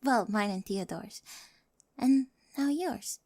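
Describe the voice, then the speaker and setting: A woman's voice: a little high, slow pace, darkish tone, dry recording, studio quality. A woman in an ASMR roleplay, playing a quirky, awkward teenage girl with a crush who rambles nervously and stammers to the listener. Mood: soft, wistful. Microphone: close microphone, dry.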